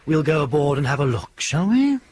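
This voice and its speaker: An actor playing a stern, authoritative airline captain in a radio drama, with a clipped, commanding manner. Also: male voice, a little high, sing-song, brisk, a little mellow.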